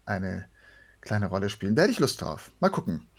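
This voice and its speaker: A man's voice: on the low side, big pitch swings, brisk. A man, talking casually as a voice actor being interviewed. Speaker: guest, voice actor.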